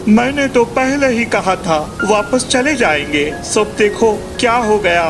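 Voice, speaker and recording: Man acting high-pitched worried village man. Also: expressive, a little slow, over music. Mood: alarmed. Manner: worried, lamenting, earnest. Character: frightened, pious village man on a journey.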